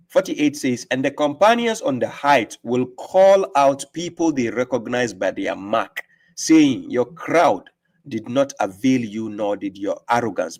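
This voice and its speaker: Man, host, mid-pitched voice, slow measured scripture reading, explanatory tone, frequent "right?". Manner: reads scripture aloud with slow emphasis and explains it; asks rhetorical "right?" often. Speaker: host and religious teacher. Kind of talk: live-stream religious explanation.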